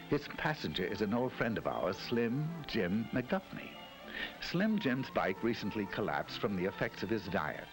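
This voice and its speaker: A man's voice: fairly low pitch, low-quality recording. A man giving scripted 1960s educational film narration in a wry, mock-solemn storytelling manner. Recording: narration over loud music.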